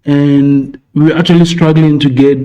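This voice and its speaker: Mellow-voiced man, thoughtful. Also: fairly high pitch, flat delivery, moderate pace, rough recording. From Ghana. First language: Twi. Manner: reflective, searching for words. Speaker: podcast co-host and filmmaker. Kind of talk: casual conversation.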